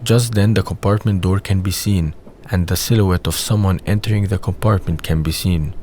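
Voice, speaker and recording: Deep-voiced man narrating an audio drama. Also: steady tone, normal speed, a little mellow. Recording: faint background noise.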